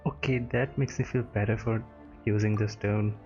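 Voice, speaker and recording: A man's voice: lowish voice, fairly even intonation, moderate pace, a little mellow, muffled recording, soft background music. A man giving casual reactions to a game in sparse, muttered comments. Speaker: streamer.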